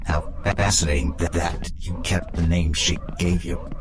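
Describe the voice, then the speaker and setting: A man voicing a gentle robot professor who speaks earnestly, in a measured but halting, stammering way. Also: low-pitched, little pitch movement, natural voice. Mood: hesitant. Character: gentle male professor turned robot, earnest and self-sacrificing. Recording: faint background noise.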